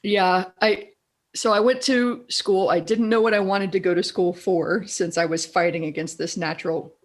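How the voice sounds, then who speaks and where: A middle-aged woman with a deeper, raspy voice, a guest in a casual interview who tells animated stories and laughs often. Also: moderate pace. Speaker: guest, copywriter.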